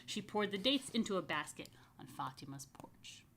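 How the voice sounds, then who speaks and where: A woman's voice: medium pitch, creaky, slight background noise. A woman reading a picture book to young families as a rabbi, stretching key phrases expressively and slipping into chatty asides. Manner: expressive, slow emphatic storytelling with chatty murmured asides. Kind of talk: children's story read-aloud with casual asides.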